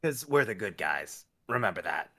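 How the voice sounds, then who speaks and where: A man's voice: fairly low pitch, very animated, normal speed, vocal fry, sharp tone, a little hiss or hum, voice pushed down, heavily acted. A man reading the part of a wry, tough superhero in a film script read-through. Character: gruff male superhero warning off attackers, wry and tough.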